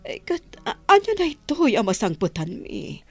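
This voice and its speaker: A woman's voice: a little high, wildly swooping pitch, moderate pace, a little mellow, breathy edge, faint music behind, heavily acted. A woman with a Filipino accent playing a caring, worried wife and mother of grown children in a radio drama. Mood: worried, soothing.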